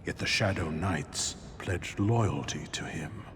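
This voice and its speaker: A man performing the solemn scripted narration of a dark fantasy game saga. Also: low voice, slow, slight background noise. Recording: loud game ambience behind.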